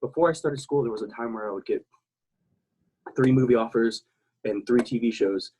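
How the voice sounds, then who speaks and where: A man's voice: medium pitch, moderate pace, warm tone, a little hiss or hum, low-quality recording. A man in his thirties from South Texas, a military veteran talking as an interview guest, rambling and blunt, with many restarts and fillers. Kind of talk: casual interview talk.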